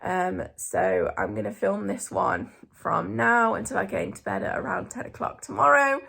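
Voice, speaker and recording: A young woman, a junior hospital doctor, talking casually to camera in a vlog. Her voice is croaky from a cough and she sounds tired, with many ums. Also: mid-range voice, animated, moderate pace, pushed and bright. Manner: chatty, tired, filler-heavy.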